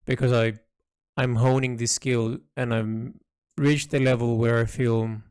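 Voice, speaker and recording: Slow-talking man recording a reflective audio log. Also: medium pitch, a little mellow, clear, resonant tone, no echo, studio quality. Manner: hesitant, halting, thoughtful phrasing. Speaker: artist narrating a design log.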